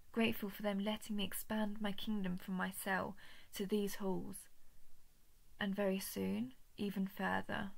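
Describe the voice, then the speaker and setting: A woman voicing a smug, menacing alien parasite in a scripted audio drama, patient and taunting, often dropping toward a whisper. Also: medium pitch. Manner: breathy whispering, slow taunting delivery. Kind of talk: scripted roleplay audio drama, intimate monologue.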